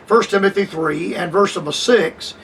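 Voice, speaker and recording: An older man giving a radio Bible lesson, measured and repetitive, restarting phrases with frequent hesitations. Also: sits high, creaky, fairly bright tone, far from the mic, slight background noise, low-quality recording. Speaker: radio preacher.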